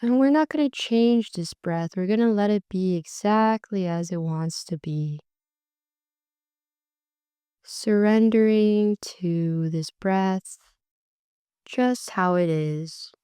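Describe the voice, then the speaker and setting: A woman guides a meditation in an unhurried, gentle way, letting her phrases stretch out. Her voice is mellow and warm, sitting a little low, and comes through very smooth and clear. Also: dry recording, clean studio sound. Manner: slow, soft and soothing, drawn-out phrases.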